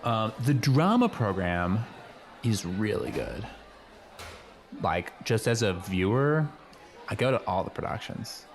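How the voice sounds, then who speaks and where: A man playing an earnest, know-it-all high school boy in an improvised comedy radio play, rambling casually with lots of slang. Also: sing-song, slow pace, pauses often, a little hiss or hum, full character voice. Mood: earnest, informative. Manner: rambling, hesitant, peppered with "like" and slang.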